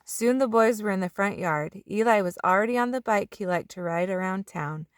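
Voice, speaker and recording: A woman's voice: medium pitch, moderate pace, clean tone, dry recording, studio quality. A woman reading a children's storybook aloud as a narrator, drawing out the ends of some phrases. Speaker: children's book reader.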